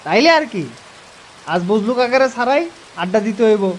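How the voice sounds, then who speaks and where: A man's voice: high voice, animated, fairly quick, slight background noise, voice pushed up. A chatty young village lad done by a man talks. He is a teasing, friendly audio-story sidekick. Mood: chatty, casual.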